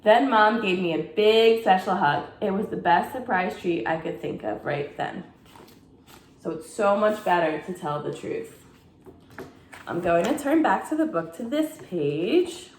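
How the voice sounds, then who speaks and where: A woman, a teacher, expressively reading a bedtime story aloud to children and pausing to ask her young listeners questions. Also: medium pitch, lively intonation, slight background noise. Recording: echoey room.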